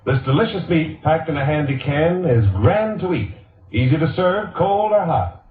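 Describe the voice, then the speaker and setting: A man's voice: a little high, lively intonation, moderate pace, far from the mic. An American man reading 1940s radio advertising copy with upbeat, emphatic salesmanship. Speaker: radio commercial announcer. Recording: boxy vintage radio recording, echoey, narrow band.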